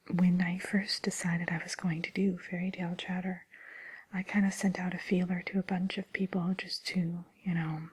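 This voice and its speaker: A woman hosting an ASMR show, whispering a casual introduction in a soft, hesitant manner. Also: fairly low pitch, husky, low-quality recording.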